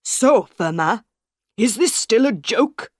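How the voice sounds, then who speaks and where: A woman reading a story aloud and voicing a hot-tempered male opera director, loud and indignant. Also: on the high side, animated, takes it slowly, dry recording, studio quality.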